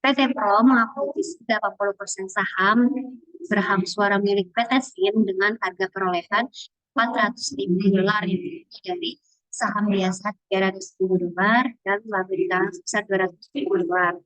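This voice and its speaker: A woman's voice: mid-range voice, steady tone, moderate pace, a bit bright, no room sound. A young woman, a student explaining answers informally in a class presentation. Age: young adult. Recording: dull, lo-fi audio.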